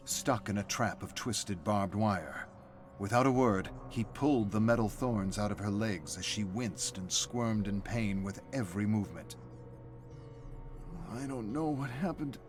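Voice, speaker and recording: A man reading a dramatic story as scripted narration of game lore. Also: lowish voice.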